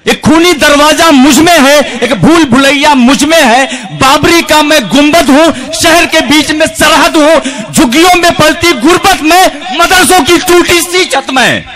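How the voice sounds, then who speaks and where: A man's voice: very high-pitched, unhurried, few pauses. A man reciting poems in rhythmic verse at a poetry evening, speaking into a stage microphone. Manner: rhythmic declamation with refrains. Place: large outdoor stage with a crowd.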